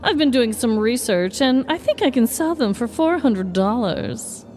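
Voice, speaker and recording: A woman's voice: a little high, sing-song, unhurried, darkish tone. A woman playing a scheming, morbid, oddball middle-aged shelter worker in a comedic story, theatrical and falsely sweet. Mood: breezy, self-absorbed.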